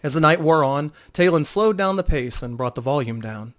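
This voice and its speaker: A man's voice: on the high side, lively intonation, normal speed, a bit bright. A man narrating a fantasy story as a dramatic audiobook reading.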